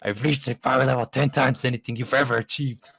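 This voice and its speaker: A man acts out a boastful tyrant from a fan story. The villain exclaims in a raised voice, pushed well above the reader's usual pitch, with a dry sound. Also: steady tone, quick pace, fairly bright tone, slightly rough, no room sound. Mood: gloating.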